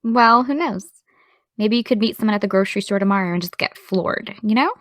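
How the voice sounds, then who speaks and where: A woman playing a caring, gently teasing young woman in an audio drama, speaking in a casual, slightly tentative way. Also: medium pitch, a little fast, dry recording, clean studio sound, close to an everyday voice. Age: young adult. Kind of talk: naturalistic audio drama dialogue.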